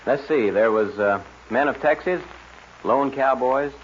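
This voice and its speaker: A man's voice: medium pitch, measured pace. A man hosting a scripted 1940s radio guest chat in a cordial, folksy manner. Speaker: radio show host. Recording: muffled, noisy 1940s radio recording.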